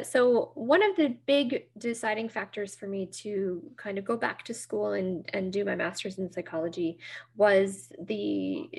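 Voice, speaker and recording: A woman's voice: mid-range voice, gravelly. A middle-aged woman from Nova Scotia with a Canadian accent, speaking earnestly as a therapist guest in an interview, with many fillers and restarts. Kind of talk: interview conversation.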